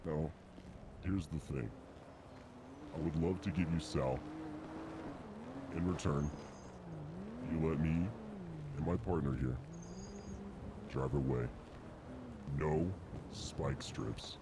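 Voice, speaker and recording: A very deep, slow-talking robber. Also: male voice, exaggerated voice. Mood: calm menace. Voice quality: booming deep put-on voice. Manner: slow, deliberate negotiating. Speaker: roleplayer. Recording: noisy game audio behind.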